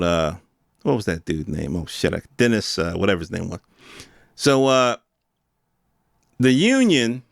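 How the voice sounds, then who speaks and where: A man speaks at an average pitch with a smooth, resonant tone, recorded dry and close. He hosts in a loose, unscripted rant style, using street slang and the odd swear word. He often puts questions straight to his listeners. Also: a bit bright, no echo. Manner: rhetorical questions addressed to listeners. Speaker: podcast host. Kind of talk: casual unscripted opinion rant.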